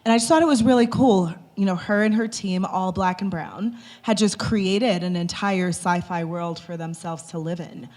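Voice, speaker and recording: A woman on a live panel, speaking in a relaxed, conversational way with frequent "like" and "you know". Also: medium pitch, moderate pace, few pauses. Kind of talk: panel discussion.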